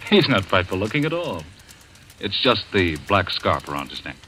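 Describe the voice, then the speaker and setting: A very low-voiced, authoritative male doctor in an old radio mystery. He is firm and paternal, with expressive swings in pitch. Faint noise sits on the recording. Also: unhurried, exaggerated voice. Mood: reassuring, firm. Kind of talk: old-time radio drama acting. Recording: noisy vintage radio recording.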